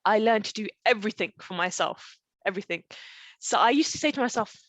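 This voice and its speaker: A woman's voice: mid-range voice, expressive, fairly quick, fairly bright tone, no echo, low-quality recording. Conversational woman coach, close and dry sound. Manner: explanatory, often checking in with "okay?" and rhetorical questions. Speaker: parenting coach leading a live online session. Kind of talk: conversational live webinar teaching with personal stories. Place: live online group session.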